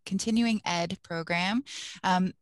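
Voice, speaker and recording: A woman's voice: fairly low pitch, slow, no echo. A woman conducting an interview in a conversational way.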